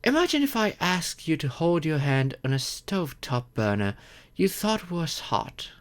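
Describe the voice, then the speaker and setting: Man as a slow-spoken, expressive gentle vampire. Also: fairly high pitch, clean tone. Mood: patient, explaining. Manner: gentle, reassuring, unhurried with thoughtful pauses. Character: courteous, centuries-old wealthy male vampire, gentle and earnest. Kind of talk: scripted audio roleplay, addressing the listener.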